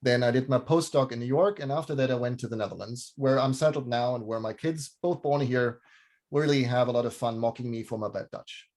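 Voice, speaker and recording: A man hosting and moderating a panel, speaking clearly and orderly on a video call. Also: mid-range voice, fairly quick, a little mellow. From Germany. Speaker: host, moderator. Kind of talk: scripted introduction and moderating.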